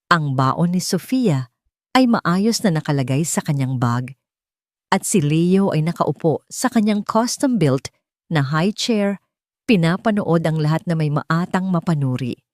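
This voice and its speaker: A woman with a Filipino accent narrating a dramatic story in a measured, solemn, phrase-by-phrase way. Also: lowish voice, expressive, darkish tone, no room sound, studio quality. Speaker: audiobook narrator.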